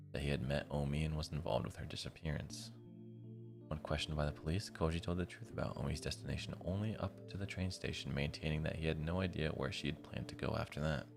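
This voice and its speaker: A man live streaming, reading a visual novel aloud in a deep, gravelly voice, with casual, slangy commentary. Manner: slangy, informal asides. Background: music.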